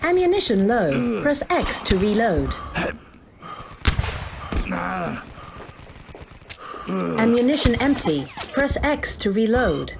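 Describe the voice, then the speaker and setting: An actress playing a brisk, bossy female AI implant with a wry, matter-of-fact manner, in a game-style audio drama. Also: on the high side, very animated, noisy background. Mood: matter-of-fact, prompting. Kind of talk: scripted audio drama parodying video game tutorials.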